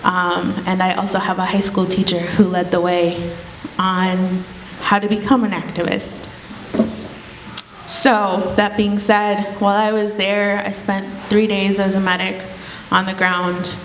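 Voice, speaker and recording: A woman's voice: medium pitch, little pitch movement, measured pace. Guatemalan woman addressing a gathering. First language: Kaqchikel. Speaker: street medic and activist speaker. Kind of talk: speech to a gathering. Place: indoor community gathering. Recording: echoey hall with faint noise.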